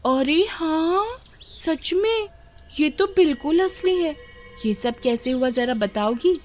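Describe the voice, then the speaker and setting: A woman voicing a bossy, mocking village girl who talks in taunting exclamations in an animated story. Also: sits high, slow, faint music behind, voice pushed up. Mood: startled, suspicious.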